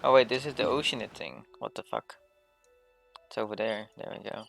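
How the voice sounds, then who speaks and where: A man's voice: fairly low pitch, sing-song, fairly quick, creaky. A man live-streaming a game, giving blunt, informal commentary and muttering to himself and his chat. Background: faint game music.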